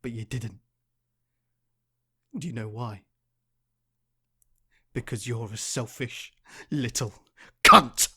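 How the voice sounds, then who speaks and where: An actor playing a grieving, vengeful miner and widowed father, bitter and seething, erupting into shouts in an audio drama. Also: medium pitch, takes it slowly, long pauses, breathy edge, exaggerated voice. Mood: grieving rage. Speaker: mine worker.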